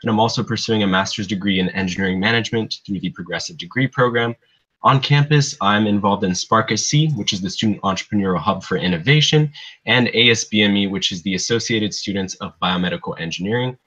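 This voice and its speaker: A young man born in Bulgaria and raised in California, introducing himself as a student ambassador in a clear, orderly way. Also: on the low side, fast, pushed and bright. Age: young adult. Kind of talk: casual self-introduction. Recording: dull lo-fi sound.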